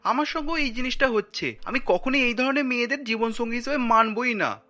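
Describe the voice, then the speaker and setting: A man's voice: very high voice, brisk, sharp tone, soft background music. A man voicing an earnest, principled grown-up son in a cartoon, speaking reproachfully. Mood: complaining. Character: principled adult son, earnest, protests his mother's snobbery.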